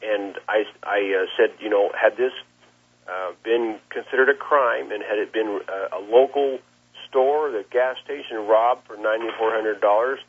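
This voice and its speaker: A man, a plain-spoken cattle rancher giving a halting account in an interview over the telephone. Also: on the low side, fairly even intonation, slight background noise. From Nebraska, United States. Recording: muffled, lo-fi phone interview line.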